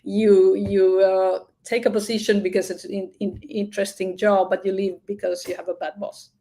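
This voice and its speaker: A woman's voice: medium pitch, level tone, normal speed, dark tone. A woman guest on a video call, speaking as a with supportive affirmations. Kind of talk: casual interview conversation. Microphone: video call mic.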